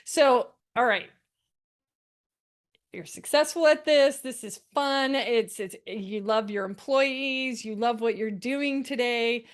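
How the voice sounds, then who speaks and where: A woman's voice: a little high, slow. A woman hosting a podcast, asking questions in a chatty way and laughing often. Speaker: podcast host. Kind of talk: casual interview chat.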